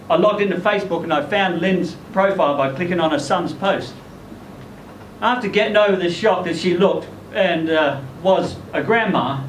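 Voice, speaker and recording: A man performing a first-person short story aloud at a live event, as its narrator. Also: sits high. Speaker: story reader performing in character as ageing rock singer. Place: indoor live literary event venue. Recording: echoey room, distant mic, faint noise.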